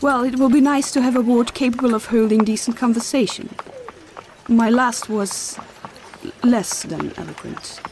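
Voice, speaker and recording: Woman playing polite noble mom in fantasy audio drama, highish voice, noisy behind. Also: normal speed, a little mellow, close to an everyday voice. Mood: polite curiosity. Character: gracious noble mother and wife, polite.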